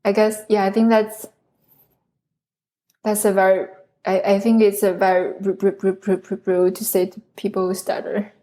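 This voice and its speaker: A young woman, a guest in an interview, who stutters with repeated sounds and speaks in a soft, thoughtful, hedging way. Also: mid-range voice, little pitch movement, moderate pace. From China. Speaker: guest, speech pathology researcher. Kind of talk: conversational interview.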